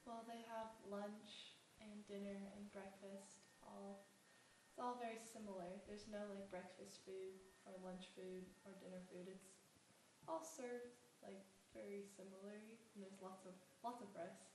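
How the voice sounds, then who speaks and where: A woman's voice: mid-range voice, level tone, unhurried, a little mellow, a little hiss or hum. Young female runner, plain and direct, distant echoey sound. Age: young adult.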